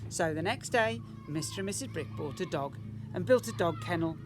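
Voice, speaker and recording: A man's voice: high voice, expressive, normal speed, music in the background. A man reading a picture book to young children as a teacher, emphatically, with rhetorical questions and asides. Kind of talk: animated picture-book storytelling.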